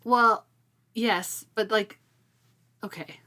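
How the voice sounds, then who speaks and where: A woman co-hosting a casual book discussion, retelling things in an animated way and acting out reactions. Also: a little high, drawn-out words. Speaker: co-host of a book discussion show.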